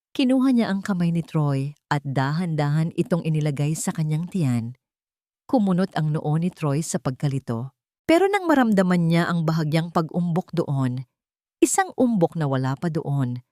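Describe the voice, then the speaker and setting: A woman's voice: sits low, dark tone, no echo, studio quality. A woman reading a scripted romantic story in a dramatic, sentimental way. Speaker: story narrator.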